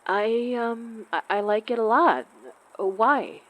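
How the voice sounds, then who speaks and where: A woman's voice: fairly high pitch, slow pace, fairly bright tone, poor audio. A woman playing an awkward, apologetic young woman in a naturalistic audio drama, speaking hesitantly with stammers. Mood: shy, torn. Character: awkward, apologetic young woman, torn about a close friend.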